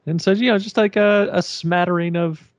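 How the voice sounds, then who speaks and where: A man with a low voice, a voiceover artist chatting as a podcast guest in an easygoing, joking way. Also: fairly even intonation, moderate pace, no room sound. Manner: easygoing, joking, chuckles often. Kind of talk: casual podcast chat.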